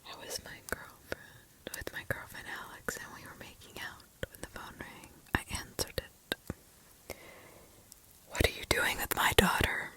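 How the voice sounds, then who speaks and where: Whispering woman, crisp ASMR storyteller. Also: a little slow, pushed and bright, poor audio. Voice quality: breathy unvoiced whisper. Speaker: ASMR host. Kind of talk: whispered ASMR reading of scary stories. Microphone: close ASMR microphone, ear to ear.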